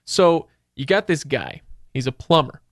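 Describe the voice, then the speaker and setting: A man hosting a casual, comedic podcast discussion, playfully pitching ideas with halting restarts. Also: big pitch swings, no echo, clean studio sound. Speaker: podcast host.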